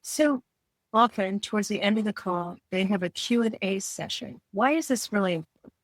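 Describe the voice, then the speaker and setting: A woman's voice: mid-range voice, moderate pace, no echo. A woman interviewer asking questions in a conversational style.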